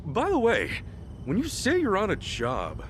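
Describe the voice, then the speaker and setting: A man playing a brash, earnest, excitable gangster hero in a video game cutscene, expressive and slangy, moving between mutters and outbursts. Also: high voice, a little slow, full character voice. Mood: curious. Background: music.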